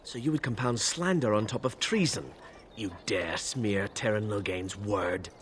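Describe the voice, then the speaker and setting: An actor playing a self-righteous knight in a fantasy game, formal, indignant and accusing. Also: male voice, fairly low pitch, unhurried, a little hiss or hum, close to an everyday voice. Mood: righteous indignation. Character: self-righteous male knight, accusing and challenging a supposed traitor. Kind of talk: fantasy video game acting.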